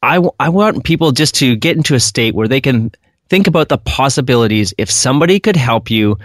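A man's voice: mid-range voice, expressive, fairly quick, dry recording, clean studio sound. A middle-aged man hosting a podcast, chatty and enthusiastic, rambling as he sets up his questions. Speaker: podcast host. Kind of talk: casual interview.

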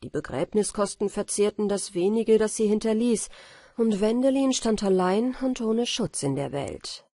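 A woman with speech reads a fairy tale as an audiobook narrator, in a soft, measured and expressive storytelling manner. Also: medium pitch, no echo, clean studio sound. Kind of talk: scripted fairy tale narration for bedtime listening.

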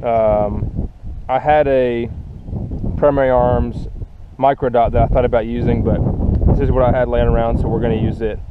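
A man hosting a casual shooting video outdoors, explaining his gear in a relaxed way with frequent ums, recorded on a head-mounted camera. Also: mid-range voice, normal speed. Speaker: gun channel host. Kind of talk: casual first-person range demonstration. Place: windy open field outdoors. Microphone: head-mounted action camera. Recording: lo-fi camera mic with loud wind noise. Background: wind buffeting the mic.

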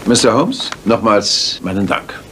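Ein Sprecher, der in einem Hörspiel einen förmlichen, feierlichen hohen Regierungsbeamten spielt. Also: male voice, on the low side, animated, unhurried, a bit bright, a little hiss or hum. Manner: formal and ceremonious. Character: formal senior male government official. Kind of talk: German radio drama.

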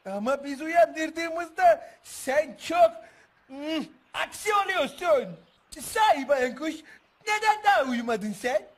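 An actor voicing an excitable, childlike little boy calf in a children's puppet show, whiny, eager and exclamatory. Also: high voice, slow.

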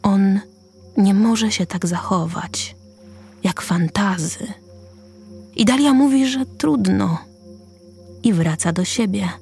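A woman narrating a radio drama deliberately, pausing between short phrases. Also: medium pitch, gravelly, darkish tone, breathy edge, soft background music.

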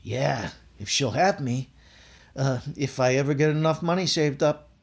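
A man's voice: mid-range voice, unhurried. A man playing a nervous, bumbling young working-class clerk in a recreated 1950s radio sitcom, stammering and hesitant. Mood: sheepish. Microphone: video call microphone.

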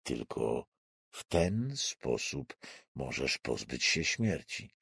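A man voicing a calm, sadistic torturer in an audiobook thriller, hushed and soothing with slow menace. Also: rumbling low, creaky, slightly rough, no room sound, studio quality, voice pushed down, full character voice. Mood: calm, menacing. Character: calm, sadistic male torturer, self-styled saviour.

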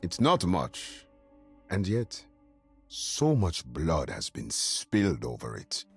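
Smug game supervillain, slow and deliberate, very lively pitch, low-set voice. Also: male voice, vocal fry, breathy edge, soft background music, exaggerated voice. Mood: grave. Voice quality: deep, slow, self-assured. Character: suave cocky male supervillain, intelligent, menacing. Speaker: game character voice lines. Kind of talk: video game voice acting.

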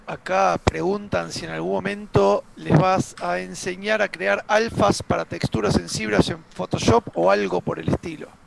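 An Argentine man co-hosts a live stream, reading out chat questions and explaining software. His voice sits high and is heavily gravelly, with a clear steady hiss underneath. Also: normal speed, hardly any pauses. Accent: Argentine Spanish. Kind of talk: casual live-stream chat and tech answers.

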